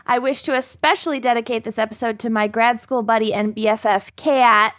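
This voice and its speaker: Woman podcast co-host, higher-pitched, easygoing and laughing, casual chat. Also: steady tone, fairly quick, fairly bright tone. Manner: giggly, playful banter.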